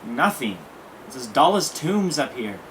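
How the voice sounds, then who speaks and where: A man plays a lonely, well-mannered teenage boy in a period audio drama. He speaks at a middling pitch, courteous and a little hesitant, with slight noise behind the voice. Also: sing-song, measured pace, a bit bright. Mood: shy, wistful. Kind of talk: audio play acting.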